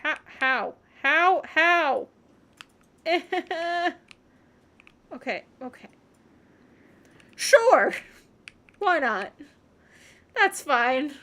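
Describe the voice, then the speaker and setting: High-pitched woman streaming a game. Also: expressive, drawn-out words, pauses often, bright, forceful tone. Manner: muttering reactions and self-questioning to herself. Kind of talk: casual livestream gameplay commentary.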